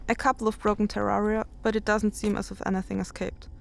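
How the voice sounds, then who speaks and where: A tense female space explorer played by a woman records a halting log as she searches a dead station. Her mid-pitched voice has plenty of creak, in a sober audio drama style. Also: flat delivery, close to an everyday voice. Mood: uneasy, focused. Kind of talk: audio drama acting, spoken progress log.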